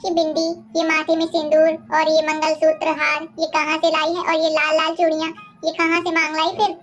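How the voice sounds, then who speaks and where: Woman playing a shrill, sharp-tongued old grandmother. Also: completely flat delivery, normal speed, highs cut off, faint music behind, natural voice. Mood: suspicious, angry. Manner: sharp-tongued, threatening, ordering. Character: gruff, suspicious old grandmother, mistress of the house. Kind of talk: animated moral-story dialogue.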